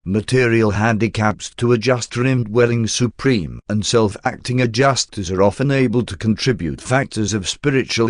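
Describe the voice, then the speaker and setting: A man reading a spiritual text as an audiobook narrator in a formal, measured and solemn manner. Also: fairly low pitch, no room sound, clean studio sound.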